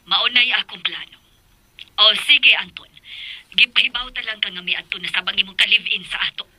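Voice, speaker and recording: An actress in a radio drama playing a suspicious, stern middle-aged mother with a husky voice. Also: medium pitch, fairly quick, pushed and bright, no echo, low-quality recording.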